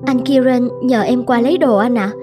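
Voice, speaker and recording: A woman's voice: a little high, normal speed, over music, close to an everyday voice. A woman voicing a dissatisfied, nagging young wife in a cartoon drama, with a reproachful way of talking. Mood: polite. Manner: complaining, reproachful.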